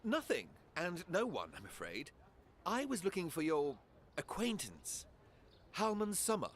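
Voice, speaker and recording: A man playing a guarded, sly spy in a fantasy game, with an evasive, arch and measured manner. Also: high voice, huge pitch swings, heavily acted. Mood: coy, evasive. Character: guarded, sly male spy of an empire's intelligence service. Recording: clear background noise and ambience.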